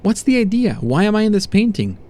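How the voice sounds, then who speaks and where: A man playing an agitated condemned prisoner in a horror audio story, halting and emphatic as he unravels. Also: sits high, big pitch swings, mellow, pitched above the performer's own voice. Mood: alarmed, distressed. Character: condemned male prisoner unraveling from irritation into awed despair.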